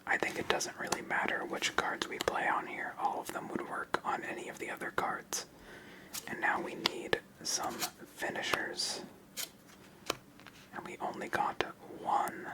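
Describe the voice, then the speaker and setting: A person whispering ASMR-style commentary as the host of a card game channel, in a soft, unvoiced manner. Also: a little slow, poor audio.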